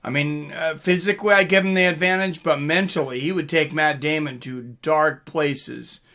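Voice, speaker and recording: Bright-voiced man hosting a casual live stream. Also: fairly high pitch, fairly even intonation, moderate pace. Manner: informal, mildly profane, rambling. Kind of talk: casual live chat, reading out and answering viewer comments.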